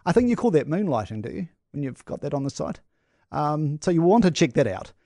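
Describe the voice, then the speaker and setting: A New man telling a travel story on breakfast radio with animated enthusiasm. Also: on the high side, a little fast, dry recording, studio quality. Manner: animated storytelling, talks up attractions. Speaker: travel correspondent.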